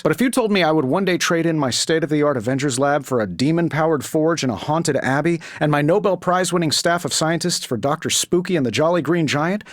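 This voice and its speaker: An actor in a video game playing a cocky, quick-witted billionaire inventor superhero with a sardonic, glib, conversational delivery. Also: male voice, on the high side, no room sound, studio quality, lightly acted. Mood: wry, explaining.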